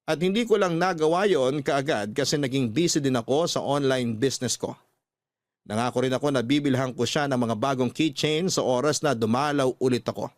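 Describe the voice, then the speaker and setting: A man's voice: mid-range voice, brisk. A man with a Filipino accent narrating a scripted, sentimental letter as a radio storyteller. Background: background music.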